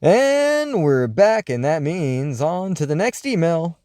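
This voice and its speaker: A man's voice: on the high side, very animated, slow pace, darkish tone, a little hiss or hum. A man working as a host, reading listener mail in a scripted radio-show style with a theatrical, wry, musing delivery.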